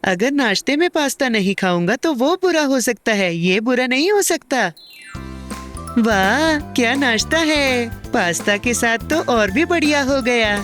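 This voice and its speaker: A woman voicing a cheerful, food-loving little boy in a children's cartoon dub, with a simple, expressive childlike manner. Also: fairly high pitch, a little slow, faint music behind. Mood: eager. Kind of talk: Hindi cartoon dub acting.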